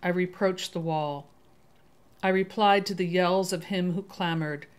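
A woman's voice: on the low side, steady tone, unhurried, clear, resonant tone. A woman storyteller giving a theatrical, ominous dramatic reading of a gothic story. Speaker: storyteller, channel host. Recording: music under the voice.

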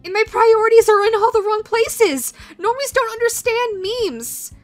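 A woman playing an awkward, self-deprecating young meme lover flustered by love, speaking haltingly and nervously in a romantic comedy audio roleplay. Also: very high-pitched, faint music behind. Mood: agitated, despairing.

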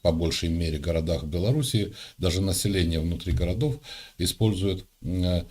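A man arguing earnestly in a casual debate, pausing often with hesitant fillers. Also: sits low, a little mellow. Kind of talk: casual argument.

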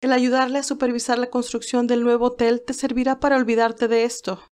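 A woman's voice: on the high side, flat delivery, a little fast, darkish tone, voice pushed up, natural voice. A woman voicing a wealthy, indulgent mother who soothes in an audio story. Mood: soothing.

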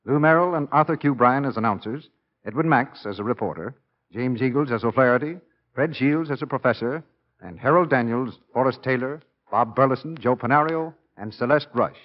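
A man's voice: mid-range voice, a little fast. A man with an American accent working as a radio announcer, in 1940s announcing and advertising style. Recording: muffled 1940s radio broadcast.

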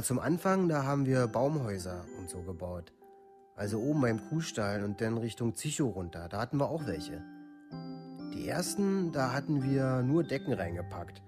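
A male actor plays a rough young man from a village, recounting events bluntly in a medium-pitched voice. It is radio drama testimony, plain and unemotional, with faint music behind it. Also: natural voice. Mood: casual, reminiscing. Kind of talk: documentary radio play monologue, testimony.

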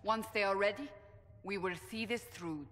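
A woman's voice: mid-range voice, moderate pace, a bit bright, a little hiss or hum, lightly acted. Fantasy game warrior for an actress, serious and clipped, medium register, resolute woman. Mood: firm.

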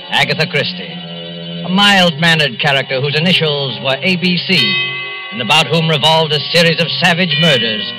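A man playing an ominous narrator in formal, measured 1940s radio style. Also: on the high side, natural voice, poor audio. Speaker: announcer and narrator.

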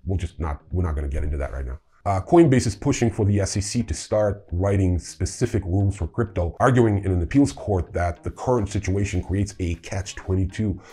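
Deep, husky middle-aged man presenting news. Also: fairly quick, hardly any pauses, mellow. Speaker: host of a crypto news show. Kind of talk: semi-scripted news rundown with casual asides. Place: indoor room with wooden staircase.

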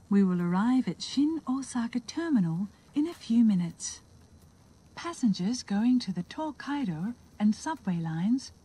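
A woman reads a scripted train announcement extremely slowly. Her voice is soft-toned and mellow with lively intonation, over faint background noise. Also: on the high side, slightly rough.

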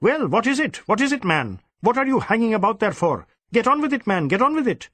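A man's voice: very high voice, big pitch swings, brisk, fairly bright tone, no echo, voice pushed up, full character voice. A man voicing an irascible, overbearing senior Indian police chief who snaps and repeats himself impatiently, in an audiobook. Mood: irritated, impatient. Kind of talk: audiobook character acting.

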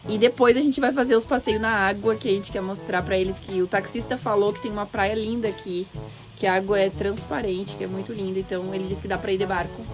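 A woman's voice: medium pitch, fairly even intonation, fast talker. A woman chatting casually and laying out plans. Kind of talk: casual chat. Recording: loud music behind the voice.

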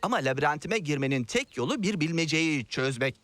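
Theatrical, scheming cartoon troll. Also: female voice, low-pitched, expressive, brisk. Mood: gleeful, theatrical. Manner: theatrical, gloating, sighing and cackling. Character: gleeful scheming labyrinth troll guarding lost treasure. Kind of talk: animated children's cartoon dub. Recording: loud music under the voice.

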